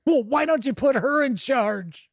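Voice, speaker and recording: Man putting on a high-pitched, panicking space marine. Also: lively intonation, unhurried, gravelly, dry recording, clean studio sound, full character voice. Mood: indignant. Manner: frantic, wailing protest. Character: panicking young male space marine, whiny and defeatist.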